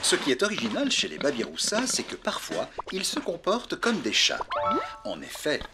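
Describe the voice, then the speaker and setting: A man's voice: on the high side, huge pitch swings, normal speed. A man playing an earnest television wildlife narrator in a children's cartoon. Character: earnest TV nature documentary narrator. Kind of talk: mock documentary narration in a cartoon. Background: loud music.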